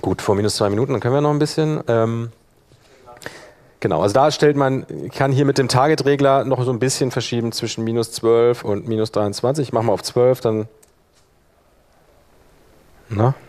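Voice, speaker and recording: A man leading a workshop, explaining informally and thinking aloud while he operates the software. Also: medium pitch, steady tone, normal speed, pauses often. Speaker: workshop instructor.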